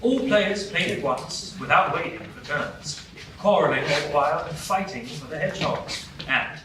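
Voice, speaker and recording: A man narrating a storybook tale, animated and expressive. His slightly high voice swoops lively. The sound is roomy and distant, with clear room noise behind. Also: moderate pace. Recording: roomy live stage sound from afar with background noise.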